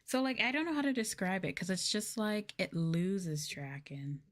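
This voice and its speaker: A woman's voice: mid-range voice, very animated, moderate pace. A woman livestreamer chatting casually and informally to her viewers, rambling along with lots of "like". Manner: informal, rambling, uses "like" and "y'all". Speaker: VTuber livestreamer. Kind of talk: casual soft-spoken livestream chat to viewers.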